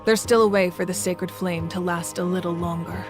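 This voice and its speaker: A woman playing a composed, commanding leader of a nation in an anime video game, measured, dignified and resolute. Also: on the low side, steady tone, lightly acted. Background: game music.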